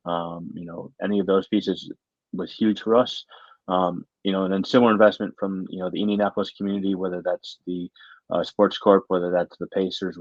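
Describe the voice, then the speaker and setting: A middle-aged American man from Michigan, a university faculty member giving earnest, conversational interview answers in a halting, word-repeating way. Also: low voice, dry recording, dull audio. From Michigan, United States.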